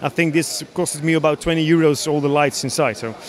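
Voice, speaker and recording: A man in his thirties from the Netherlands with a Dutch accent, explaining his hobby builds in a casual, halting interview. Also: on the high side, steady tone. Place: large busy exhibition hall, indoors. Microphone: handheld interview microphone.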